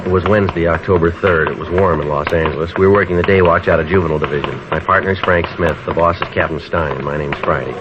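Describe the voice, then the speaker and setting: An actor playing a terse, businesslike American police detective in a 1950s radio drama, with clipped, matter-of-fact delivery. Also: male voice, sits low, quick pace, hardly any pauses, close to an everyday voice. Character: terse, businesslike male police detective sergeant narrating his case. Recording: dull vintage radio recording, clear noise.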